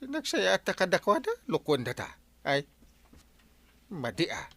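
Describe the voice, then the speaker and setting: Guy doing a worked up filipino farmer uncle, sharp voice, all over the place, stammery, radio comedy. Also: fairly high pitch, huge pitch swings, measured pace, vocal fry, full character voice. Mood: indignant, suspicious. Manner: stammering, exclamatory. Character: excitable village farmer uncle, suspicious and easily aggrieved. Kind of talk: Ilocano radio comedy drama acting.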